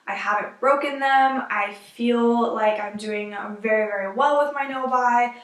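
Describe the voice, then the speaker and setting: A young woman with a slightly high voice rambles to her camera, packing her sentences with fillers, a bit sniffly and stuffed up. She sits back from the mic in an echoey room at home. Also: a bit bright, far from the mic. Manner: rambling, filler-heavy, frequent "like". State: sniffly from allergies. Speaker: vlogger. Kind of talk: casual to-camera chat.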